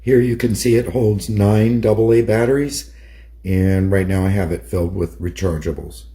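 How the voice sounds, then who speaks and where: Low, mellow man demonstrating gear. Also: flat delivery, normal speed. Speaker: radio hobbyist presenter. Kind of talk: product demonstration narration.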